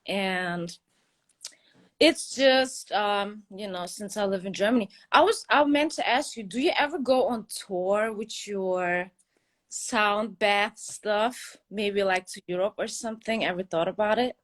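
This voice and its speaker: A woman's voice: mid-range voice, measured pace. Eager woman from Turkey calling in. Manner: eager, chatty, laughs easily. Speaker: caller on a live stream. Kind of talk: casual chat.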